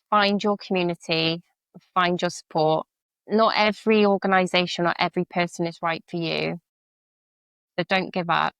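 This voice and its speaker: A woman's voice: on the low side, creaky, fairly bright tone, no room sound. A woman being interviewed on a podcast as a charity leader, speaking earnestly and reflectively with some filler words. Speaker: charity CEO and veteran, guest.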